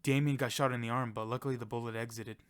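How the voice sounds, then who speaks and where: A man's voice: mid-range voice, fairly even intonation, fast, smooth, close to an everyday voice. A man playing a sensitive, anxious young contestant and loyal friend in an audio drama, earnest and easily flustered. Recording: music behind the voice.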